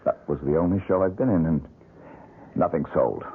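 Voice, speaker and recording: An actor playing a bitter, weary painter in a vintage radio drama, with a sardonic way of talking. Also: male voice, low-pitched, normal speed, breathy edge. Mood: bitter, guarded. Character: bitter, weary male painter, son of a dead actress. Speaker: painter character. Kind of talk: 1970s radio drama acting. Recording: tinny lo-fi old radio broadcast.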